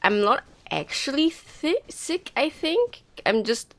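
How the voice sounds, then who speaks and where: A woman's voice: sits high, very animated, slow pace, fairly bright tone, no room sound. A woman livestreaming a game with casual, informal chat and commentary, her nose congested and her voice slightly nasal. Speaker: game streamer.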